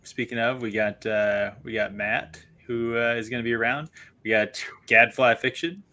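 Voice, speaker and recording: A man hosting a casual online book club chat, chatty and quick to laugh, often restarting his sentences. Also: mid-range voice, fairly even intonation, sharp tone.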